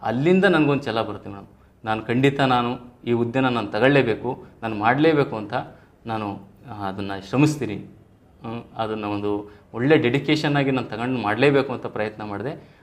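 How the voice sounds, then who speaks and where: A man's voice: mid-range voice, moderate pace. A man in his thirties with a Karnataka Kannada accent, telling his own story as an interview guest in earnest, formal phrasing mixed with English terms. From Karnataka, India. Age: 30s. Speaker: interview guest, police sub-inspector probationer. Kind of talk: podcast interview, personal storytelling. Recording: roomy echo, faint background noise.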